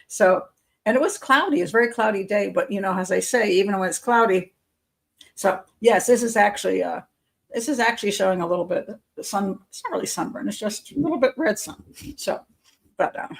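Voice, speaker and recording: A woman who works as a criminal profiler hosts a casual live members' show, rambling and chatty, losing her voice and clearing her throat. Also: medium pitch. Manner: chatty and rambling, with frequent fillers and soft laughs. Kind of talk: casual live-stream chat.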